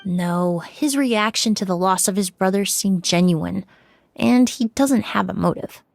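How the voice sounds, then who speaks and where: An actress playing a composed, analytical young forensic expert in a video game, precise and clinical in delivery. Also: female voice, mid-range voice, measured pace, gravelly, dry recording, clean studio sound. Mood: composed, assured.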